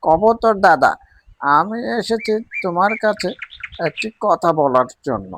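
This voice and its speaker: An eager male tailorbird from a cartoon, voiced by a man, with a fairly high voice. He is friendly, earnest and coaxing, over faint noise. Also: animated, unhurried, a bit bright.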